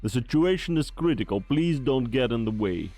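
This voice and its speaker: A man's voice: mid-range voice, animated, normal speed, warm tone, dry recording. A man voicing a cold security commander giving curt, officious orders. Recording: dry close mic, faint music.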